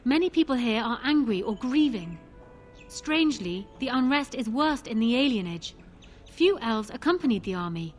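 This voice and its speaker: Proud, high-voiced young queen acted by a woman. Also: animated, soft background music, lightly acted. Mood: measured, persuasive. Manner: formal, measured, commanding. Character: proud, shrewd young widowed queen, regal and resolute. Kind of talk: dramatic fantasy video game acting.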